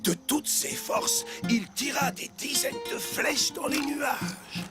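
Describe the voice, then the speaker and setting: A man voicing a kindly, patient village storyteller in a French children's cartoon, with an expressive, measured delivery. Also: very high voice, full character voice. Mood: storytelling. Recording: music behind the voice.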